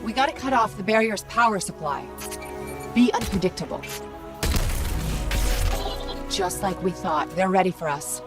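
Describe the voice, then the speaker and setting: An actress playing a witty, upbeat little AI companion in a video game, brisk and confident. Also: female voice, medium pitch, lightly acted. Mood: urgent, explaining. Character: witty, upbeat female Ghost, small AI companion. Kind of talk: scripted video game voice acting. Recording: music playing behind the voice.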